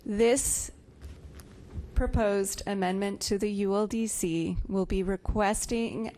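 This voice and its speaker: A woman on county staff giving a measured, procedural presentation at a public zoning hearing. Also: medium pitch, steady tone, dark tone.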